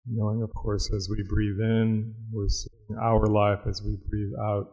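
A man teaching a guided meditation, speaking in a measured, reflective way with long pauses. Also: lowish voice, completely flat delivery, a little mellow, rough recording.

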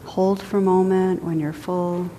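A woman teaching a guided meditation, speaking gently and unhurriedly in short phrases with pauses between them. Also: little pitch movement. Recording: echoey hall, rumbly background noise.

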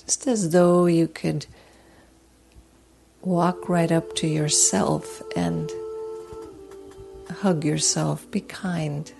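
A woman leading a guided meditation as a teacher, speaking in a soothing, gentle, unhurried way with long pauses. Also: low-pitched, animated, vocal fry, soft background music.

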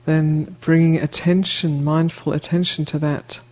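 Slow, mellow, low-toned woman guiding meditation. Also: flat delivery, low-quality recording. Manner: gentle, unhurried, with long pauses between short phrases. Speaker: meditation teacher. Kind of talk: guided meditation instruction.

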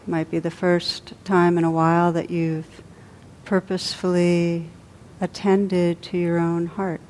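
A woman meditation teacher leading a guided meditation, speaking softly and unhurriedly with long pauses between phrases. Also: on the low side, level tone, slight background noise.

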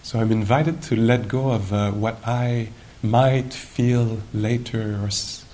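A man leading a guided meditation as a teacher at a retreat, speaking in an unhurried, musing way with gentle questions and long pauses. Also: fairly even intonation. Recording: noisy live recording with low rumble.

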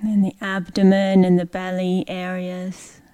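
A woman leading a guided meditation, speaking gently in short, unhurried phrases with long pauses. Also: lowish voice, monotone, clean tone, muffled recording. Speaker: meditation teacher.

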